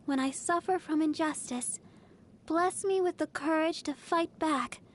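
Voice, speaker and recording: A woman's voice: high-pitched, measured pace. A woman voicing a cheerful, devoted young girl idol healer in a video game, sweet, earnest and bubbly. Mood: earnest, prayerful. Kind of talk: video game character voice lines.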